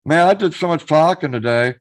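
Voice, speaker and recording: A man with a hoarse voice, chatting casually on his live stream, greeting viewers by name and reading out their messages. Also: a little high, lively intonation, fairly quick.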